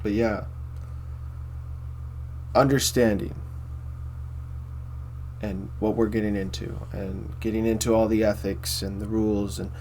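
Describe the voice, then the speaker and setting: A man with a baritone voice hosting a casual philosophy monologue, rambling and repeating himself with many fillers. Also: flat delivery, a little slow, gravelly, pauses often, darkish tone, slight background noise. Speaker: host.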